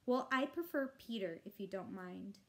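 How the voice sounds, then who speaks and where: A woman's voice: medium pitch, expressive, moderate pace, lightly acted. A woman voicing an exasperated, sarcastic school-age boy, a grumbling older brother in a storybook reading. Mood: annoyed.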